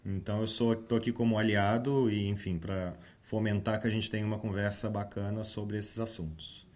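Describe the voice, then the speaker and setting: Low-voiced man hosting a podcast. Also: fairly even intonation, moderate pace, a little mellow. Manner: friendly, hesitant, many fillers. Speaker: podcast host. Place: office.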